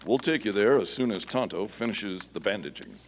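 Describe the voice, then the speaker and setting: A man acts a masked western hero with a low, measured, authoritative voice. It is old-time radio acting, live in a reverberant hall with clear background noise. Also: natural voice. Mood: grave, assured. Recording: echoey hall, noisy live stage recording.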